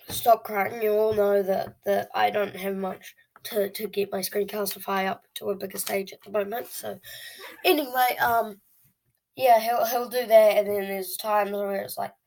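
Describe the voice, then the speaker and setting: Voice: medium pitch, steady tone, a little slow, creaky, rough recording. An eleven-year-old child hosting a video channel, telling stories casually to viewers in a dramatic, whiny way. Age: child, eleven years old.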